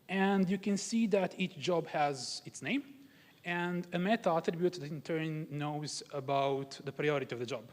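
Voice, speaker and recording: A young man from Croatia gives a technical talk at a conference and walks the audience through examples step by step. His voice sits a little high for a man. The sound carries a long hall reverb. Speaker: conference speaker.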